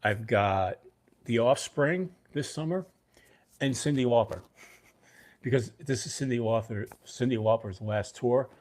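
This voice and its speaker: A man's voice: medium pitch, a little slow, a little mellow. A middle-aged man from Brooklyn with a mixed New York and New Jersey accent, chatting loosely as the host of a livestream and answering viewers by name. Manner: rambling, talks to chat viewers by name. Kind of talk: casual livestream chat.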